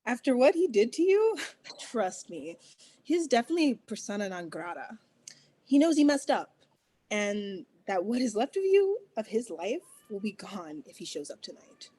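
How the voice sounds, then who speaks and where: Gossipy teen friend, warm mellow voice, lively pitch, woman reading. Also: moderate pace. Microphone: video call mic.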